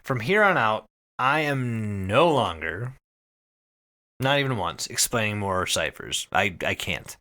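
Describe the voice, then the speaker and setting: A man's voice: fairly low pitch, very animated, unhurried, bright, forceful tone. A man hosting a podcast, telling a story in casual, emphatic chat with plenty of swearing. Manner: profane, emphatic, repeats phrases for effect.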